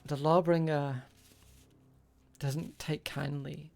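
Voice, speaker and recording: A game master with a creaky voice and a hesitant delivery, improvising tabletop roleplay as a shopkeeper. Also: mid-range voice, little pitch movement, takes it slowly, a little mellow.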